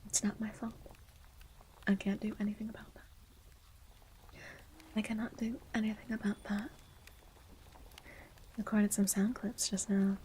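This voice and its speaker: A woman whispering softly, chatting and reading a legend in an ASMR stream, with a feminine voice on the low end of the register. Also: little pitch movement, a little slow, pauses often, a little hiss or hum, rough recording. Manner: soft unvoiced whispering.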